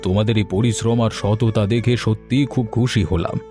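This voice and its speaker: A man voicing a kindly, encouraging village headman in a cartoon moral story, speaking in a measured, benevolent way. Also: lowish voice, fairly even intonation, mellow, close to an everyday voice. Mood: pleased, benevolent. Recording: music under the voice.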